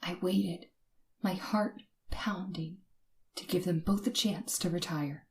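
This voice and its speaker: An actress playing a terrified young woman who narrates her night of terror in old-time radio suspense style, dramatic and halting. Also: lowish voice, fairly even intonation, a little hiss or hum. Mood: hushed dread.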